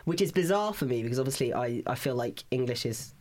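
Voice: mid-range voice, animated, fast, a little mellow. A guest on a podcast, born in Italy with Italian as a first language, speaking thoughtfully and hesitantly with many fillers and restarts. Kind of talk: casual interview chat.

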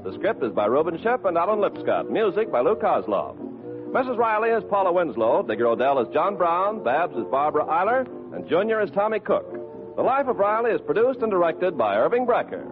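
Male 1940s radio announcer: American, lively swooping pitch, polished sales read, narrow-band sound over music. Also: brisk. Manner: polished, promotional.

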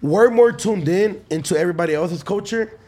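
Fast-talking man hosting a podcast. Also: high-pitched, a little mellow. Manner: loose, swearing, many restarts. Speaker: podcast host. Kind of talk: casual interview chat.

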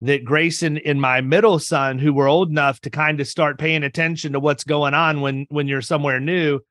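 A man's voice: on the high side, fairly even intonation, normal speed, a bit bright, no room sound, clean studio sound. A middle-aged man hosting a podcast, chatting casually in a folksy, joking, rambling way. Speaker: podcast host. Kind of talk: casual interview chat.

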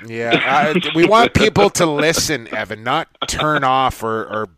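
A man co-hosting a casual sports podcast who says an injured vocal cord left him with a deep voice. He rambles, stammers through restarts and laughs easily. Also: expressive, measured pace, a bit bright, poor audio. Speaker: podcast co-host.

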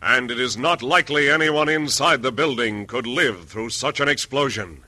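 A man's voice: mid-range voice, moderate pace, sharp tone, faint music behind. A man narrating an old-time radio drama as its announcer, in dramatic scripted style. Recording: very noisy old radio recording.

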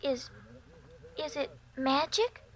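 A woman's voice: high voice, expressive, slow. An actress playing a young schoolgirl in a 1940s radio suspense drama, speaking in a precise, childlike manner. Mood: uneasy. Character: young schoolgirl, timid, later eerily prim.

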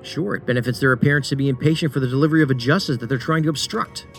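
Guy acting a stubborn sarcastic fed agent, sci-fi audio drama, medium pitch, music under it. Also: racing, close to an everyday voice. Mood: sardonic. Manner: dry, insistent, sarcastic. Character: stubborn, sardonic male federal agent chasing a conspiracy.

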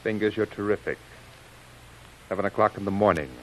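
A man's voice: fairly low pitch, brisk, natural voice, low-quality recording. Guy acting a sinister crime boss, old radio serial, tough slangy talk, sardonic and bossy. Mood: approving, scheming. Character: sinister male gang chief, sardonic, scornful, commanding.

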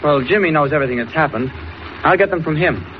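Guy playing polite american reporter, high quick voice, 40s radio drama, muffled old sound. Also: close to an everyday voice. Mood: earnest, deferential. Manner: deferential, brisk. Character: earnest, polite, mild-mannered newspaper reporter. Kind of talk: 1940s radio acting. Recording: muffled old radio recording, faint noise.

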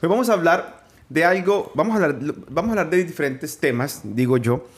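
A man's voice: fairly high pitch, expressive, brisk. A man with a Colombian Spanish accent hosting a casual faith podcast, joking and rambling with many restarts. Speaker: podcast host.

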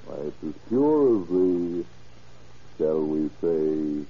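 An actor playing a shrewd, smooth-talking crime boss in 1940s radio drama, with a deep husky voice and a sly, mock-genial manner. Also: male voice, animated, slow pace, exaggerated voice. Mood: sly, boastful. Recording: muffled old radio recording.

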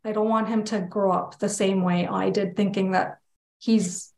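A Canadian woman speaks as a guest in a casual, candid interview. Her voice sits at an average pitch, and the recording is noticeably roomy and echoey. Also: steady tone, normal speed, vocal fry, darkish tone. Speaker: interview guest, children's book illustrator.

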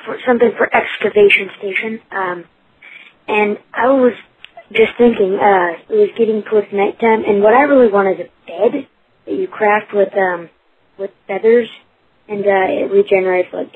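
A woman leaving a casual recorded message for a show, speaking in a hesitant, halting way. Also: mid-range voice, husky. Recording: tinny noisy phone-like recording.

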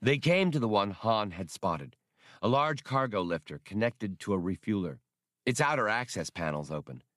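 A man's voice: fairly low pitch, lively intonation, normal speed, fairly bright tone, no echo, clean studio sound. A man reading scripted fiction as an audiobook narrator.

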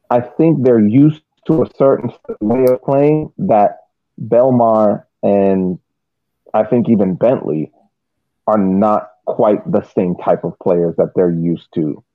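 A man's voice: lowish voice, dry recording, phone-quality sound. A man giving analytical sports commentary as a podcast panelist, explaining at length and repeating words as he goes.

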